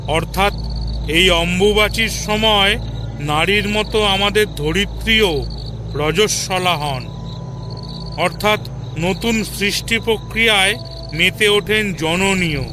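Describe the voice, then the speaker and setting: An actor playing a solemn old tantric ascetic who teaches patiently, in a measured audio-drama style. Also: male voice, high-pitched, noisy background. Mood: solemn, instructive. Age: older. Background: music.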